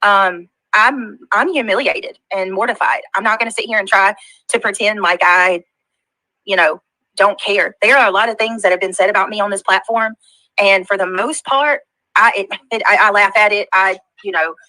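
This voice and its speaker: A woman with a Southern US accent talks to her livestream viewers in a bright, forward voice. She halts often with fillers and sounds hurt and defensive. The audio is dry and close. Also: medium pitch, moderate pace, no room sound, rough recording. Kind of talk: casual livestream talk.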